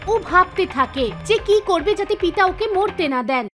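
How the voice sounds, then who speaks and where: Very high-pitched woman storyteller over music. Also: animated, normal speed, a bit bright. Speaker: storyteller narrator. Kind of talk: scripted storytelling narration for an animated tale. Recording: music under the voice. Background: background music.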